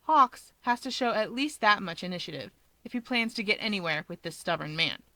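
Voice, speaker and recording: A woman reading a story aloud in an expressive narrating style. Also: medium pitch, brisk, no room sound. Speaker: fan fiction reader.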